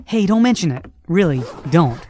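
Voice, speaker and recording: A woman's voice: on the low side, lively intonation, normal speed, mellow, a little hiss or hum, close to an everyday voice. A woman voicing a cocky young male hedgehog hero who teases his friends. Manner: cocky, teasing.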